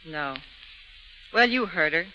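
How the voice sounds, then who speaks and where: An actor playing a rough, defensive convict turned informer in a 1930s radio drama, with tough street talk. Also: male voice, on the high side, lively intonation, slow. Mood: surly. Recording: muffled 1930s radio recording.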